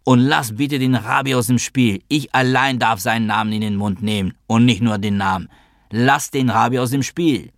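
A man's voice: fairly low pitch, normal speed, hardly any pauses, no room sound, clean studio sound. A man voicing a stern, mocking adult sister who is defiant and needling, in a literary audiobook story. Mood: fierce.

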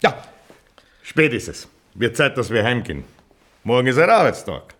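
A smug local dignitary in a drama. His husky male voice swings very widely in pitch, with an officious air. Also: very animated, moderate pace, a bit bright. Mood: brisk. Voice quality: gravelly, husky. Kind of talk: naturalistic drama dialogue.

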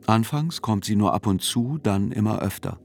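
A man reading scripted literary narration for a radio play in a deliberate, sober manner. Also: lowish voice, lively intonation, vocal fry, a little mellow. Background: faint music.

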